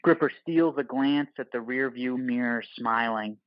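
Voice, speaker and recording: A man reads scripted narration for an audio play. His voice sits at a middle pitch, and the recording is very dry with a dulled top end. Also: measured pace, no echo, highs cut off.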